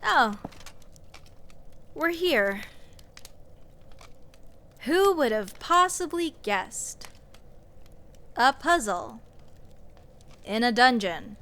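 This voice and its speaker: A woman's voice: on the high side, a bit bright, exaggerated voice. A woman playing a snarky, nitpicking sorceress in a comedic fantasy audio roleplay, sarcastic and exasperated as she rambles. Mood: dry sarcasm. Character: snarky, nitpicking sorceress adventurer, scoffing at absurdity. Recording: clear background ambience noise, clicks. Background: dungeon ambience.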